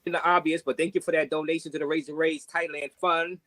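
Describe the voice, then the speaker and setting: A man's voice: a little high, level tone, fast, a bit bright. A man co-hosting a stream, reading out donations in a loose, offhand way. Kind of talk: casual stream talk.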